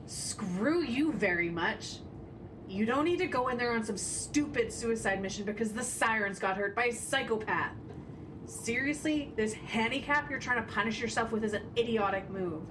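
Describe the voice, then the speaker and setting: A woman's voice: mid-range voice, close to an everyday voice. A woman playing a sarcastic, tough, fiercely loyal punk gunslinger in an audio drama, brash in manner. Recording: distant mic, noisy background.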